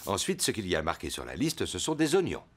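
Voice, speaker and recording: A man's voice: deep voice, wildly swooping pitch, fast, slightly rough, heavily acted. A man voicing a good-natured, slightly bumbling father pig in a preschool cartoon, patient and encouraging. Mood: jovial, encouraging. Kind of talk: French-dubbed preschool cartoon acting. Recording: music behind the voice.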